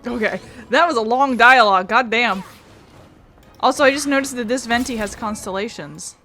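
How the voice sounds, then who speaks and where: A woman hosting a let's play, thinking aloud in an offhand, sweary way. Her voice is bright and fairly high, with game music clearly behind it. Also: moderate pace. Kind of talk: casual gameplay commentary.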